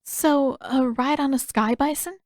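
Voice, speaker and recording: A woman voicing a gentle, wistful young princess in a storybook reading, speaking politely in measured, formal phrases. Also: high voice, no echo, studio quality, voice pushed up. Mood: warmly pleased.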